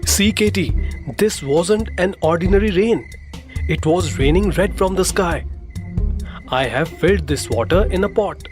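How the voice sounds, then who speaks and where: Male actor as secretive farmer husband, storybook cartoon, theatrical, lively pitch, light background music. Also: a little slow. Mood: excited, secretive. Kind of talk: animated storybook voice acting.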